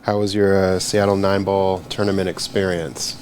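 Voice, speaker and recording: A man's voice: sits low, little pitch movement, normal speed, darkish tone, a little hiss or hum. A man commentating a pool match from the booth and interviewing a guest in an easygoing way with fillers. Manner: easygoing, asks questions with fillers. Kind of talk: live sport commentary and interview.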